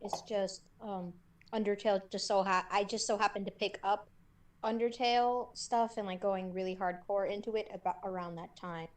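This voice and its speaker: A woman's voice: medium pitch, steady tone, normal speed. A woman co-hosting a podcast, chatting casually in an informal, slangy and supportive way. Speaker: podcast co-host. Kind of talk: casual chat.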